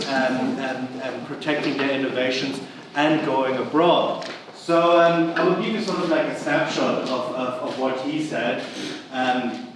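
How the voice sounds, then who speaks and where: A middle-aged man with a German accent gives a chatty conference lecture, full of fillers and restarts. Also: medium pitch. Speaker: university lecturer. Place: large conference hall. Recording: echoey hall, voice from far off, background noise.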